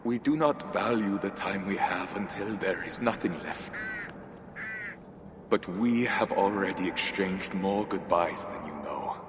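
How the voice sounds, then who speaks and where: An actor playing a gentle, resigned son of a Norse god in a video game, speaking solemnly and tenderly. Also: male voice, low voice, a little slow. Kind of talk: dramatic video game acting. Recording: long echoing reverb, noisy ambience.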